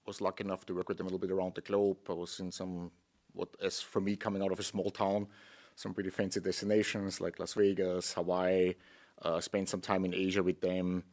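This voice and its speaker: Middle-aged Austrian man, deep monotone, courteous and careful in his answers. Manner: polite, measured, slightly halting. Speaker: guest, hotel general manager. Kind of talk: interview answers. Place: studio.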